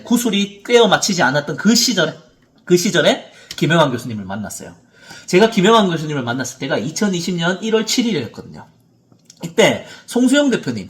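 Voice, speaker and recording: A man in his thirties speaks as a motivational presenter at a seminar. His voice sits on the high side and moves in very lively swings of pitch. He tells his story earnestly, leaning hard on key words. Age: 30s. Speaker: motivational speaker, author and coach.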